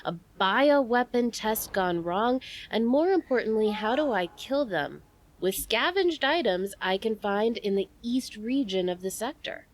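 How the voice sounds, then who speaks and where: A woman's voice: a little high, animated, measured pace. A woman co-hosting an improvised comedy advice show, chatting and explaining in a conversational way. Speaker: comedy podcast host.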